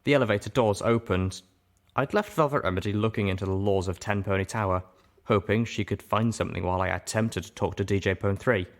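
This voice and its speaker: Low-voiced man narrating a story. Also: brisk. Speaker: audiobook reader. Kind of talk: expressive first-person story narration.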